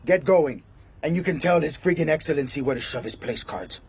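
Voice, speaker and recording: An actor playing a menacing, curt and crude gangster assassin in a radio drama. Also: male voice, on the high side, expressive, a little fast, creaky, slightly rough, full character voice. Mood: brusque, contemptuous.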